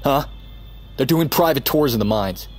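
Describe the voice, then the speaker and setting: Actor as gruff, sardonic young American man. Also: mid-range voice, lively intonation, normal speed, soft background music, close to an everyday voice. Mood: argumentative, alarmed. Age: young adult. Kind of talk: naturalistic audio drama acting.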